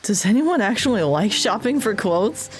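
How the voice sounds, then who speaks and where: A woman chatting casually on a stream, telling relaxed, reflective stories about growing up. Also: mid-range voice, moderate pace, faint music behind. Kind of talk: casual chat on a live stream.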